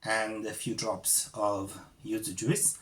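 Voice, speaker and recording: A man's voice: fairly low pitch, level tone, takes it slowly. A man from Sicily with an Italian accent, hosting a casual cooking tutorial and chatting loosely with many fillers. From Sicily, Italy. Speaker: cooking channel host.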